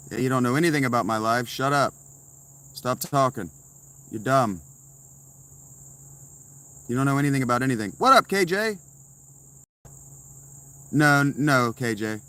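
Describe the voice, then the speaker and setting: Guy livestreaming in his tent, bright forceful voice, snarky comebacks to chat. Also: medium pitch. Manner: blunt, sarcastic replies to chat. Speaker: live streamer answering chat. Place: inside a tent.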